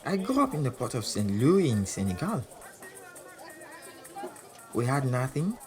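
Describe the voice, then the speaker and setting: An actor playing a proud, volatile Senegalese champion boxer, wounded underneath, confiding in an audio drama. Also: male voice, medium pitch, big pitch swings, measured pace, darkish tone, soft background music, some chatter behind. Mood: bitter, reflective. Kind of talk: audio drama acting with confiding first-person narration.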